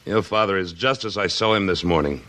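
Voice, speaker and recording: An American actor playing a steady, commanding frontier hero in a 1950s radio western, firm and deliberate. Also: male voice, deep voice. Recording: old radio recording with slight noise.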